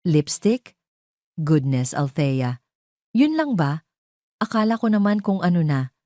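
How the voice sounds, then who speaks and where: A woman's voice: low-pitched, very animated, takes it slowly, mellow, dry recording, clean studio sound, exaggerated voice. A woman voicing an evasive, dismissive husband in an audio drama.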